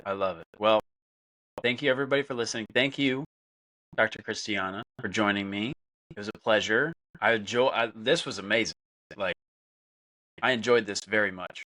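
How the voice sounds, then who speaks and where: A man's voice: on the low side, moderate pace, long pauses, pushed and bright, no echo. A man hosting an interview in a casual, chatty style. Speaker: podcast host.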